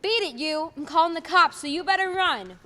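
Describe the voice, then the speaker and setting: A woman's voice: very high voice, expressive, fairly quick, pushed and bright, full character voice. A young woman playing a suspicious, hostile mother in a radio play. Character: hostile, suspicious mother turning a stranger away. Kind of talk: filmed radio play acting, high school production.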